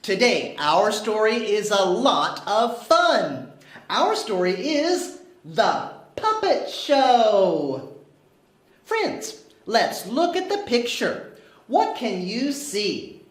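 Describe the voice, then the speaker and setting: A woman's voice: mid-range voice, big pitch swings, very slow. A woman teacher leading a story time for young children, asking simple questions in a sing-song, carefully enunciated way. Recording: roomy echo.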